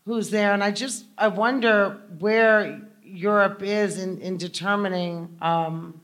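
A woman's voice: medium pitch, steady tone, takes it slowly, a bit bright. Emphatic woman moderating a panel. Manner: conversational, rambling questions, emphatic asides. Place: conference hall panel.

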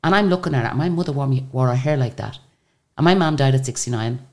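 Very deep-voiced Irish woman telling stories. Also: fast talker. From Ireland. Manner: animated, chatty storyteller. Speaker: guest singer. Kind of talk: casual interview storytelling.

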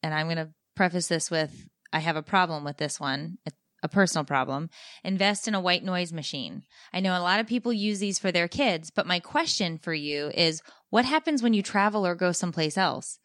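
A woman's voice: fairly low pitch, brisk, fairly bright tone, no room sound, studio quality. A woman in her thirties co-hosting a casual chat, blunt and swearing, reading parenting tips aloud with exasperated asides. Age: 30s. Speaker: podcast co-host.

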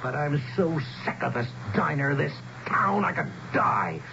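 A man's voice: fairly high pitch, animated, a little slow, vocal fry. An actor playing a bitter, weary small-town man of forty who gripes in folksy, ungrammatical, sarcastic talk in a radio drama. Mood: sarcastic, fed up. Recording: muffled, noisy 1970s radio broadcast.

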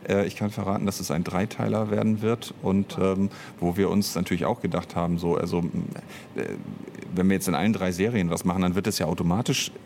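Low-voiced man with background noise. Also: fairly even intonation, a little fast, creaky, a little mellow. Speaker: interviewed audio drama author and director. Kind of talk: casual interview talk.

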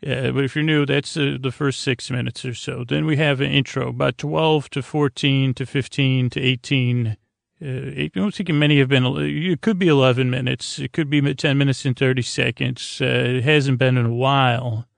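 Rambling, creaky-voiced male bedtime podcast host. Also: medium pitch, steady tone, dry recording, studio quality. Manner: meandering, tangent-prone, halting and stammering; languid, drawn-out delivery meant to lull.

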